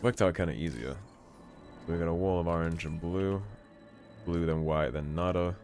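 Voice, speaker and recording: A man's voice: low voice, moderate pace, music in the background. A young man giving laid-back, chatty commentary while playing a video game. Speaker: gaming video host. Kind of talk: casual gameplay commentary.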